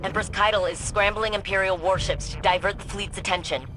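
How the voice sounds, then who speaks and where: A woman's voice: medium pitch, fairly even intonation, fairly quick, lightly acted. A woman playing a serious military ally in a video game, giving a terse, businesslike and urgent briefing. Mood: urgent, determined. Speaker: mission briefer. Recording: clear background noise and vehicle ambience.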